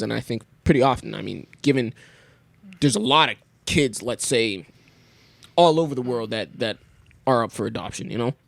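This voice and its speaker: A man's voice: mid-range voice, vocal fry, no echo. A young man from Canada speaks as a podcast guest, thinking aloud and circling back on his words before landing on a point. His voice sits in a middle register. The recording is close and dry, with no room around it. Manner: thoughtful, halting, many restarts. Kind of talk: casual conversation.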